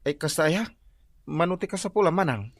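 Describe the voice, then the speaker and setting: A man's voice: a little high, expressive, moderate pace, no echo, studio quality. A man with an Ilocano accent playing a kindly, apologetic husband in a comic radio drama, folksy and placating. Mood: kindly, chatty. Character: soft-hearted, apologetic husband who sells sweet potatoes.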